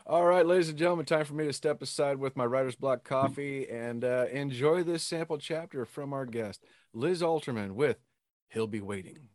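A man's voice: mid-range voice, moderate pace, a little mellow, no room sound. Man hosting, dry close sound. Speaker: podcast host. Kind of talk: casual interview chat.

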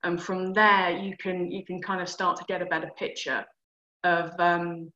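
A woman guest explaining pension steps in a clear, practical, step-by-step way. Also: lowish voice, level tone, normal speed, sharp tone. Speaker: personal finance guest. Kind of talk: explanatory advice in conversation. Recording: dull echoey room sound.

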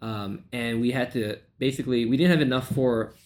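A man's voice: medium pitch, a little fast. A man in his thirties from Malaysia, a sales coach guest recounting his story in long run-on sentences with fillers. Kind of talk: podcast interview.

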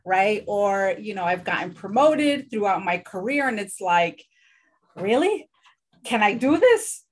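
A woman's voice: mid-range voice, very animated, a little slow. A woman guest in an interview, speaking earnestly and reflectively about her self-doubt. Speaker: guest, community engagement director. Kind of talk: candid interview answers.

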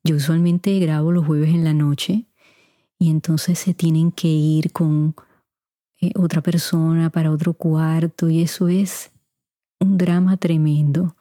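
Middle-aged woman with a light puerto rican accent, low mellow voice, chatty podcast host, dry close sound. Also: flat delivery, no room sound. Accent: Puerto Rican Spanish, kept mostly neutral. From Puerto Rico. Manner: chatty, unhurried, speaks straight to listeners. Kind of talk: answering listener questions. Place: home recording room. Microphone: studio mic.

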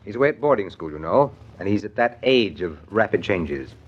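A man's voice: lowish voice, sing-song, brisk, breathy edge. An actor playing a dry, courteous middle-aged businessman and father in a 1940s radio soap opera, speaking formally and articulately with wry regret. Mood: courteous, rueful. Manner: formal, articulate, wryly rueful. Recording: dull 1940s radio broadcast recording.

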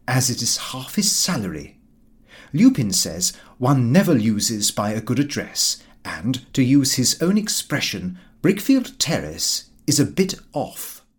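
Husky, highly animated male audiobook narrator. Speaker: audiobook reader. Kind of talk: scripted narration of a comic diary novel.

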